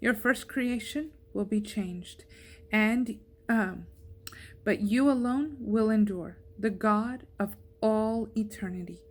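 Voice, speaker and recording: A woman's voice: medium pitch, slow. A woman reading a psalm aloud as a scripture reader.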